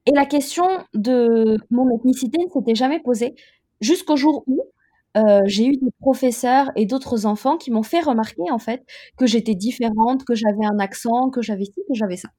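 A woman in her thirties, originally from Côte d'Ivoire, answers interview questions about her own life. Her voice sits high and clear, and she speaks in a thoughtful, measured way, pausing often to find her words. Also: mellow. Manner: reflective, measured, with frequent hesitations. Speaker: interview guest. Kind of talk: personal testimony in an interview.

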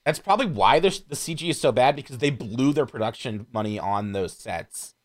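A man chatting casually as a podcast panelist, critiquing films in an animated, sarcastic way. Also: medium pitch, a little fast. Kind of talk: casual film criticism chat.

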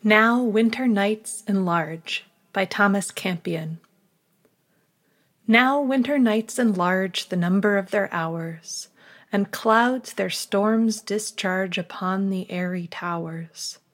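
A woman podcast host reading scripted material aloud in a measured, deliberate manner. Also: medium pitch. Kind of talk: scripted announcements and poetry recitation.

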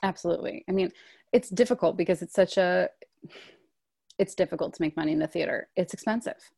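A woman's voice: fairly low pitch, fairly quick, creaky, a little mellow, no echo, clean studio sound. A woman in her thirties, a stage actor, talking through her career in a casual interview, thoughtful and full of "like" and "um.". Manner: thoughtful, frequent "like" and "um" fillers.

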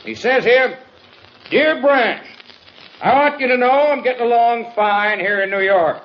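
High-pitched folksy Western politician. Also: male voice, measured pace. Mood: hearty, folksy. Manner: folksy, glad-handing, declamatory. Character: crafty back-slapping small-town Western politician, folksy orator. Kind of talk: old-time radio drama campaign speech. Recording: muffled old radio recording, crowd, noise.

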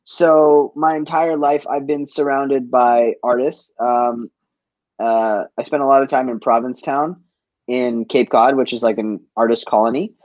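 A man's voice: medium pitch, steady tone, unhurried, a little mellow, no room sound, muffled recording. A man from Boston in a casual interview, telling stories as a guest in informal, slangy speech peppered with "like". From East Boston, USA. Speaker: interview guest, chef and business owner. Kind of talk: casual conversational storytelling.

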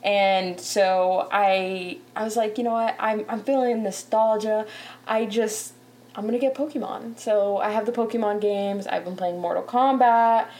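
A woman's voice: mid-range voice, steady tone, unhurried, darkish tone. A young woman from Canada, hosting a show in casual chat, talking freely with lots of fillers and laughter. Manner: chatty, laughs often, many "like" fillers. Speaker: podcast host.

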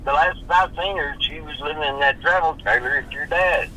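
Man, 50s, very bright sharp voice, stammering, halting phone talk. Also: a little high, a little hiss or hum, rough recording. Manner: halting, stammering, repeats himself. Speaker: person being questioned on a phone call. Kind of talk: casual phone conversation.

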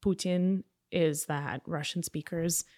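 A woman's voice: on the low side, fairly even intonation, takes it slowly, a little mellow. A young woman from Ukraine, a guest in a casual interview, talking chattily with frequent laughs and fillers. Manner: chatty, laughs often, many fillers like "you know".

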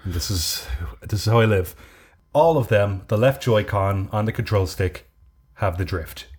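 A man chatting casually on a podcast, telling a drawn-out story with many restarts. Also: fairly low pitch, husky. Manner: rambling storyteller with frequent restarts. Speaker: podcast co-host. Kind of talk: casual chat.

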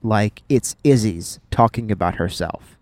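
A young man co-hosting a podcast, chatting in a playful, animated way with frequent "um" restarts. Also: fairly low pitch, a little slow, dry recording. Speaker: podcast co-host. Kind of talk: casual chat.

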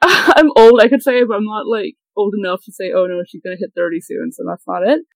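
Young Hong Kong woman, interview guest, rapid chatty talk over a dry call line. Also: mid-range voice, no echo. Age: mid-twenties. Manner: quick, chatty, lots of fillers and self-deprecating laughs. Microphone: video call.

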